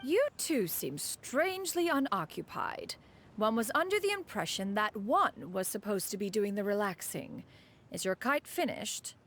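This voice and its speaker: A woman's voice: medium pitch, sing-song, measured pace, fairly bright tone, a little hiss or hum. A woman voicing a proud, ageless bird immortal in a game cutscene, formal and haughty yet caring. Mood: suspicious. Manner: formal, stately, refers to herself as one. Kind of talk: video game cutscene acting. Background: music.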